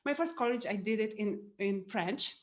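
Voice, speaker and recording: Romanian lady coach on a podcast, talks easy with lots of uhs, mid pitch. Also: animated, moderate pace. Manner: frequent "uh" and "um", laughs often. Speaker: podcast guest, business coach. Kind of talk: casual interview storytelling.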